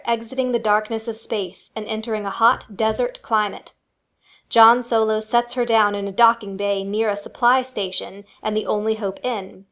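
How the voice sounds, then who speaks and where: A woman with a fairly high voice serves as narrator of a family radio play. She reads scripted adventure storytelling, setting each scene for the listener. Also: a bit bright.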